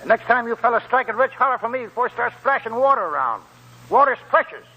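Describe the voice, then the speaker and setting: An actor playing a wily, scornful old American gold prospector in a 1940s radio drama, with a raspy, creaky voice and folksy rambling talk. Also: male voice, very high-pitched, big pitch swings, quick pace, bright, forceful tone, lo-fi sound, heavily acted. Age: older.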